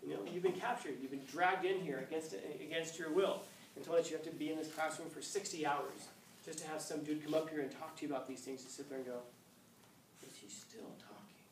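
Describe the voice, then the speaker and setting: A man in his fifties, a high school teacher lecturing a class, acting out scenes with playful voices. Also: steady tone, a little fast, a little hiss or hum. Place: classroom, indoors. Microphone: far camera. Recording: echoey classroom from far off.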